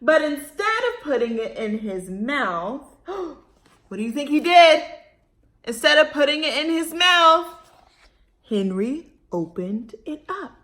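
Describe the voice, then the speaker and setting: Woman, children's storytime reader. High voice, very lively pitch swings, expressive, poses questions to young listeners. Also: roomy sound. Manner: expressive, dramatic pauses, asking listeners questions. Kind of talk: animated read-aloud for young children.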